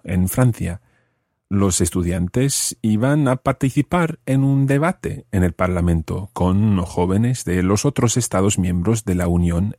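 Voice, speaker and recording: Low-voiced man hosting an interview. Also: warm tone, dry recording, studio quality. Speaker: host. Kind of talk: podcast interview. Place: Strasbourg.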